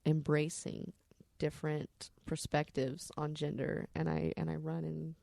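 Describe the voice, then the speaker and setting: A woman's voice: low-pitched, completely flat delivery, measured pace, gravelly, mellow, no room sound, clean studio sound. A woman podcast storyteller telling personal stories in a candid, rambling, salty-tongued way.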